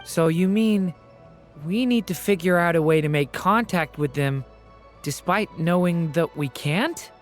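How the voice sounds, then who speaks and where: An actor playing an earnest, gentle, idealistic young male mage in a fantasy game, speaking sincerely and a little hesitantly. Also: a little high, a little slow, close to an everyday voice. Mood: earnest, thoughtful. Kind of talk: scripted game dialogue. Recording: music clearly under dialogue.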